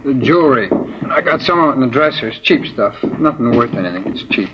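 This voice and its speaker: A man's voice: mid-range voice, expressive, fairly quick, close to an everyday voice. An actor playing a jittery, hungover male carnival informant who rambles and repeats himself in a 1950s radio crime drama. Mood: jittery, rambling. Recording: old lo-fi radio broadcast with hiss.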